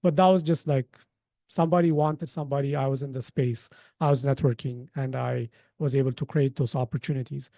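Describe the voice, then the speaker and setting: A man's voice: medium pitch, steady tone, a little fast, creaky, mellow, dry recording. A man in his thirties from Ethiopia, presents an informal webinar in a conversational, explanatory way, thinking aloud as he goes. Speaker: webinar host, company founder.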